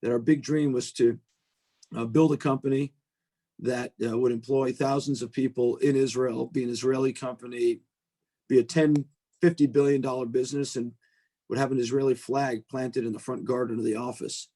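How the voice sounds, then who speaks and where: Mellow middle-aged American man. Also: mid-range voice, fairly even intonation, normal speed. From United States. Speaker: venture capitalist, guest speaker. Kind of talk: informal talk on a video call. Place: video call.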